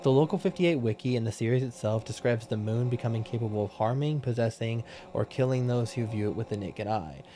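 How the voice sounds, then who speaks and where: A man's voice: mid-range voice, mellow. Casual, swearing male countdown commentator. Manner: casual, blunt, swears for emphasis. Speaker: YouTube commentator.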